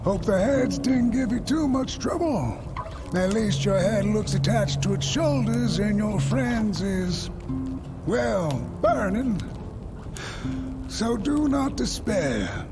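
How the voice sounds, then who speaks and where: An actor voicing a wry, unhurried guide in a fantasy game, droll and measured in delivery. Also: medium pitch, lively intonation, music in the background. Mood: wry reassurance. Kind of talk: video game character acting.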